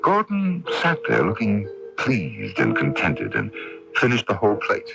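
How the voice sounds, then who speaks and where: A man's voice: lowish voice, wildly swooping pitch, unhurried, vocal fry, muffled recording, over music, exaggerated voice. An actor playing a worried, skeptical older father in a radio drama, blunt and sardonic with an uneasy edge. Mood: somber narrating.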